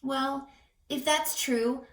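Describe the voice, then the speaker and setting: A woman voicing kind forest animals from a children's storybook in a gentle, coaxing, expressive way. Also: high voice, very slow, heavily acted. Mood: gentle, concerned. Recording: echoey room, distant mic, music.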